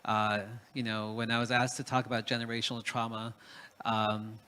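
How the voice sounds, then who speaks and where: A man's voice: medium pitch, level tone, normal speed, sharp tone, clean tone. A man from Peru speaking as a panelist in a reflective talk, hesitant, with many fillers and restarts.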